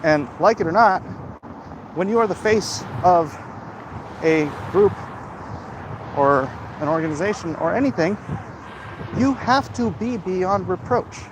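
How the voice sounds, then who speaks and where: A man talking casually off the cuff in a rambling, opinionated, halting way, like a livestream host commenting and answering chat. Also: high-pitched, expressive. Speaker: host of a livestream vlog, comic creator. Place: outdoors, walking in an urban area. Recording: clear outdoor traffic and street noise.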